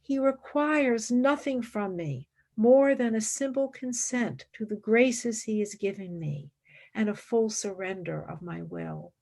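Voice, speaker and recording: A woman's voice: medium pitch, a little slow, vocal fry, dark tone. An actress playing a fervent, devout woman philosopher and mystic in a radio play, speaking in intense, formal, reverent declamation. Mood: devout, hushed. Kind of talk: radio play acting, monologue and dialogue.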